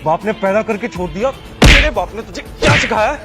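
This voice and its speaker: A man playing a proud young man on a date, protective and quick to anger, in a Hindi audio drama. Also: sits high, expressive, over loud music. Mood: angry, challenging.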